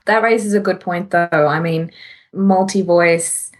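A woman's voice: lowish voice, steady tone, takes it slowly. A woman co-hosting a podcast in casual conversation, candid, informal and a little self-deprecating. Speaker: podcast co-host.

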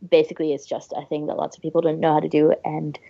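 A woman's voice: sits low, monotone, fast talker, vocal fry, mellow, dry recording. A woman co-hosting a podcast in casual chat, on a dry, slightly dull recording. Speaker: podcast co-host.

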